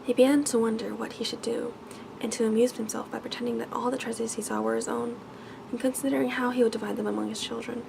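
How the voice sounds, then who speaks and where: A soft-spoken woman reads a bedtime story in a gentle, careful ASMR style, often dropping toward a whisper. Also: fairly high pitch, level tone, fast, gravelly, background noise. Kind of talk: ASMR bedtime story reading, scripted narration.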